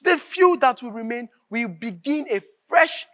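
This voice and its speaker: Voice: mid-range voice, expressive, a little slow, fairly bright tone. A stage actor playing a fervent, impatient revolutionary with a Nigerian accent, speaking in emphatic, oratorical dialogue and repeating phrases for force. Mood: insistent, preachy. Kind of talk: live stage drama acting, declamatory dialogue.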